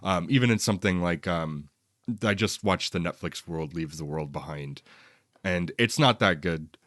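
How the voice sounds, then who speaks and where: A man's voice: sits low, normal speed, a bit bright, no room sound, clean studio sound. A man co-hosting a film podcast in a casual, conversational and rambling way.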